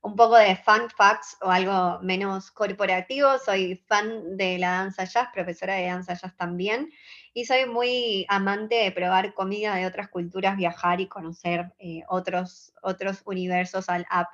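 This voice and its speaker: A woman in her twenties with an Argentine accent, giving an earnest, measured conference talk and checking in with her audience. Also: mid-range voice, fairly even intonation, fairly bright tone, muffled recording. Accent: Argentine Spanish. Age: 20s. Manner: earnest, measured, engages the audience with short questions. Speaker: conference speaker.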